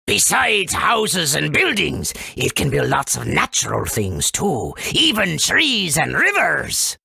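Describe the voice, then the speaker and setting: A man voicing a proud, helpful, folksy forest creature in a video game, with a raspy, creaky voice. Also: on the high side, huge pitch swings, slow pace, sharp tone, no echo, exaggerated voice. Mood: proud, explanatory. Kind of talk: video game character acting.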